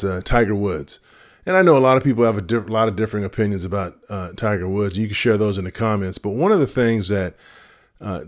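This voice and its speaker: A man in his fifties with a deep voice, giving earnest advice straight to camera in a casual vlog, with fillers and restarts. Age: 50s. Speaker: retirement vlogger. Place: home room with shelves of vinyl records. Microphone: camera at close framing.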